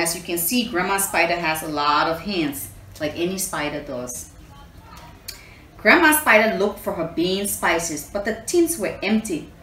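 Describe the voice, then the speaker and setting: A woman reading a children's storybook aloud expressively, pausing to explain and put questions to her young listeners. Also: on the low side, fairly bright tone. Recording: echoey room, distant mic, faint noise.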